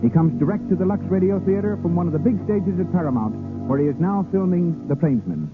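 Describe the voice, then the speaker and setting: A man's voice: sits high, lively intonation, brisk. A man announcing a 1930s radio show in a formal, declamatory style, reading out introductions and sponsor copy. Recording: tinny 1930s radio broadcast recording. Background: loud music.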